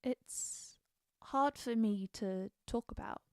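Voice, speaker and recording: A woman's voice: fairly high pitch, slow, noisy background. A woman playing a battle-hardened, guarded, bloodthirsty Nord warrior in a video game, confiding in dramatic dialogue. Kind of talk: scripted game character dialogue.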